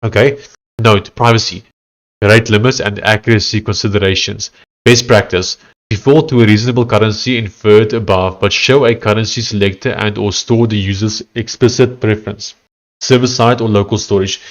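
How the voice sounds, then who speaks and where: Afrikaans-accented man, lowish voice. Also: steady tone. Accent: South African, Afrikaans. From South Africa. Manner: conversational, reads text aloud haltingly. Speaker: livestreaming coder. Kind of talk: casual livestream chat, reading chat and AI output aloud.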